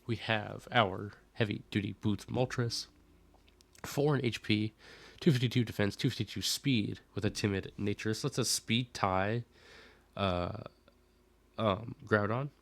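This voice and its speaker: Low-voiced young man, a bit sick. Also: fairly even intonation, a little slow, vocal fry, no room sound. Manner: conversational, thinks aloud with fillers and self-corrections. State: a little sick. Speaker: Pokémon league player and video host. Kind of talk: casual team breakdown commentary.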